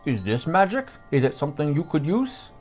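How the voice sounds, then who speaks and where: A man's voice: on the high side, very animated, a little fast, darkish tone, soft background music, voice pushed up. A man voicing a curious male dragonborn adventurer with a simple, questioning manner in a fantasy story podcast.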